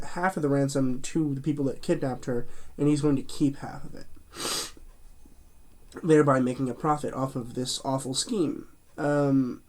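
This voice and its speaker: A man's voice: mid-range voice, a little mellow. A man hosting his own movie review channel, chatting casually through a cold that leaves him a bit stuffy and nasal, rambling with plenty of fillers and restarts.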